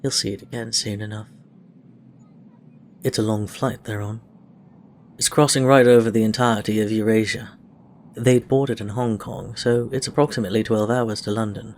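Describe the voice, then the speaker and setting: A man with a fairly low voice reads fiction aloud in a scripted narrative style. He sounds like an audiobook reader, and there is clear noise in the recording behind him. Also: fairly even intonation, normal speed, pauses often.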